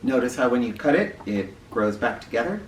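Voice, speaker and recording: Guy reading and explaining stuff to kids, really lively pitch, bit far from the mic in an echoey room. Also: fairly quick. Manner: demonstrative, addresses children directly with questions and emphasis. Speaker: children's read-aloud host and teacher. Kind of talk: educational presentation and picture book read-aloud for children. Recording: roomy, distant mic with faint noise.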